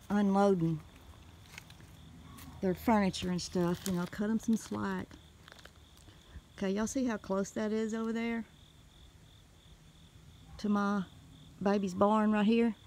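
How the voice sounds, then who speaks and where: A woman with a Southern US accent telling a story casually in a folksy, animated way, recorded on a handheld phone outdoors. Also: mid-range voice, a little hiss or hum. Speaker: homestead vlogger. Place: outdoors in a wooded rural yard.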